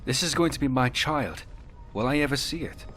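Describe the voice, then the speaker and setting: A man's voice: mid-range voice, brisk, a bit bright, faint music behind, lightly acted. An actor playing an earnest young male warrior hero in a fantasy game, with a plain, serious, questioning manner. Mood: serious, insistent. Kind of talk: dramatic fantasy game dialogue.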